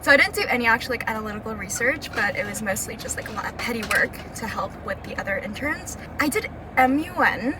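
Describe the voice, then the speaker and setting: Young woman from thailand chatting, high-ish voice, traffic noise around. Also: animated. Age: young adult. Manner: chatty, laughs often, quick asides. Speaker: interview guest. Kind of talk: casual chat.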